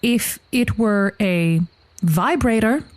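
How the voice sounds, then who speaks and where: A woman playing a supportive, flowery advice radio host in an improvised comedy show, with a theatrical, deliberate delivery. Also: medium pitch, expressive, no echo. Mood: musing, advising.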